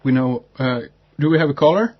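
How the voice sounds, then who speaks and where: Brief: male talk radio host, husky tone, halting delivery, muffled broadcast sound. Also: a little high, animated. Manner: halting, many fillers and restarts, agreeable. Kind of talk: hesitant radio interview chat.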